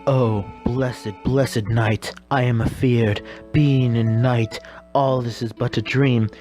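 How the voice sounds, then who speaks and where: Dreamy lovesick young man put on by a comic dubber, lowered voice, slow earnest verse, music beneath. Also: fairly even intonation, dark tone, lightly acted. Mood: awed, dreamy. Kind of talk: comic Shakespeare dub.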